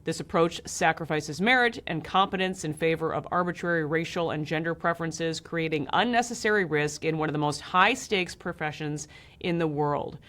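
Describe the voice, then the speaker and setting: An American woman hosting a news interview in a measured, serious way. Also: low-pitched, fairly bright tone. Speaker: interviewer, host.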